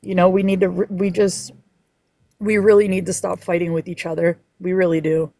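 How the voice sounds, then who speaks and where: A woman guest on a live panel, speaking earnestly and emotionally, sometimes halting. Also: on the low side, little pitch movement, warm tone, no room sound, clean studio sound. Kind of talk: personal testimony on a live stream.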